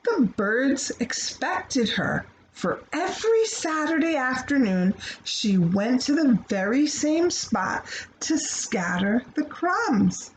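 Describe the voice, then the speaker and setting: Animated, high-voiced woman reading to children. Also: slow pace, slight background noise, poor audio. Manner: expressive, playful, addressing children directly. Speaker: children's storybook reader. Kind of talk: animated picture-book read-aloud with asides to young listeners.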